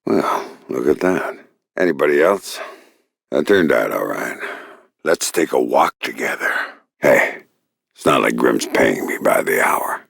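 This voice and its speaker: An actor playing a gruff, wry, middle-aged covert agent in a video game, speaking tersely in a gravelly, often breathy growl with deadpan sarcasm. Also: male voice, very deep voice, a little slow, no room sound, studio quality, heavily acted. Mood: quiet, sardonic.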